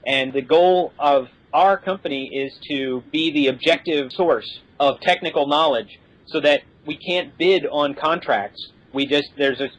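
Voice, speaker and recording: A man's voice: a little high, a bit bright. A man speaking as a podcast guest, explaining technical things conversationally in a matter-of-fact way. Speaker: aerospace professional, podcast guest. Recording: dull lo-fi podcast audio.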